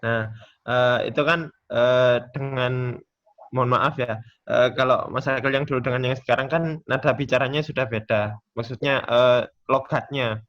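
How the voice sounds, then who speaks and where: A man taking part in a class, speaking casually and politely in a halting, hesitant way. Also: medium pitch, steady tone, fairly bright tone. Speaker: webinar participant. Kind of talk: casual question and discussion. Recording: slightly dull lo-fi call audio.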